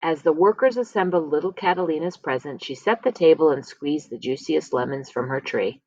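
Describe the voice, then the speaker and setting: A woman reading a children's picture book aloud as a storyteller, expressively, with dramatic pauses and emphasis. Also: low voice, darkish tone. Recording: slightly dull, lo-fi close recording.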